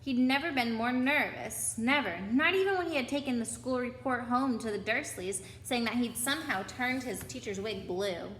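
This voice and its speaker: A woman's voice: fairly high pitch, expressive, moderate pace, hardly any pauses, fairly bright tone. A woman reading a children's story aloud, with chatty asides to her young listeners. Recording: echoey room with background noise.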